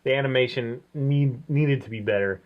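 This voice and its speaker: A man's voice: medium pitch, moderate pace. A man co-hosting a casual review chat, opinionated and rambling as he thinks aloud. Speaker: co-host of a comics review show. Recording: dull, lo-fi sound.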